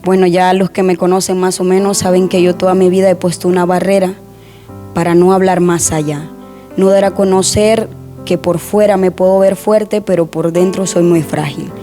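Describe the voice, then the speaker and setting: A young woman, a guest softly and hesitantly sharing a personal testimony in an interview. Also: on the low side, flat delivery, moderate pace, soft background music. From Honduras.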